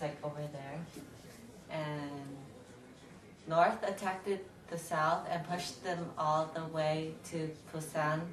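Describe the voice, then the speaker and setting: Young female student reporter, low voice, hesitant and casual, recorded at a distance with faint noise. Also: echoey room. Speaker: student playing map reporter. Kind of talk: amateur newscast presentation.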